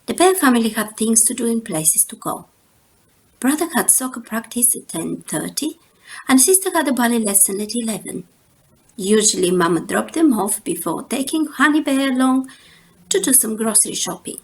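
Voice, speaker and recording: A woman reading a children's picture book aloud in a measured, expressive storytime style. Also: a little high, breathy edge, rough recording. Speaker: storytime reader.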